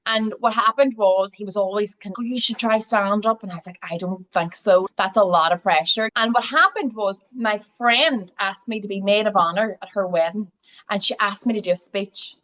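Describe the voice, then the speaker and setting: A woman's voice: medium pitch, moderate pace, a bit bright, rough recording. A woman in her thirties with a Derry accent, a comedian guest chatting and acting out little stories. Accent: Northern Irish, Derry. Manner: chatty storyteller, acts out quotes. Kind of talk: casual podcast chat.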